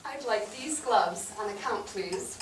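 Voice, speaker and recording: A woman's voice: mid-range voice, expressive, measured pace, natural voice. Woman acting courteous young married woman, proper, deferential, formal stage dialogue, echoey, distant mic. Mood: courteous, candid. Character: polite, proper young wife, deferential newcomer to family. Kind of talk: staged play reading. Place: theatre stage, recorded from afar. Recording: distant noisy microphone in echoey theatre.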